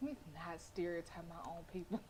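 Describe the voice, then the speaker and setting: A young woman streaming a game and reading along, talking in a loud, playful, slangy and animated way. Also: fairly low pitch, brisk. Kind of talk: casual gameplay commentary and reading.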